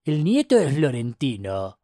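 A man's voice: mid-range voice, huge pitch swings, a little slow, warm tone, no room sound, studio quality, pitched above the performer's own voice. A man voicing an elderly, polite Argentine museum tour guide in a storybook reading. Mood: surprised, eager.

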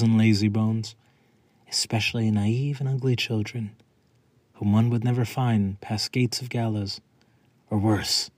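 Guy reading his writing aloud, husky voice, really dry room sound. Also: lowish voice, no echo. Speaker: poet. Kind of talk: scripted introduction and poetry recitation.

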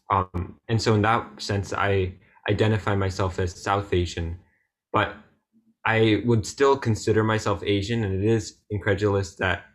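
A teenage boy speaks earnestly, working out his thoughts as he goes. His voice sits on the low side. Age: teens. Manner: earnest, thinking aloud. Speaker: student. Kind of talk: casual conversation.

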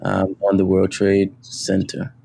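A man speaking as a guest on a podcast, talking humbly about his work with many pauses and fillers. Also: low-pitched, completely flat delivery, creaky, warm tone, breathy edge. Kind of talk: casual interview.

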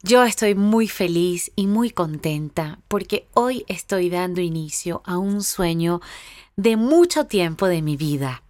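A woman's voice: fairly low pitch, expressive, a little slow, a little mellow, no room sound. A young woman, hosting her own podcast in a heartfelt, reflective personal monologue. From Venezuela. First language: Spanish. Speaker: podcast host.

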